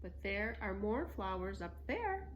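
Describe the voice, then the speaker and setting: A woman's voice: mid-range voice, sing-song, measured pace, slight background noise. A woman voicing a bold, friendly little girl in a read-aloud storybook, earnest and impulsive.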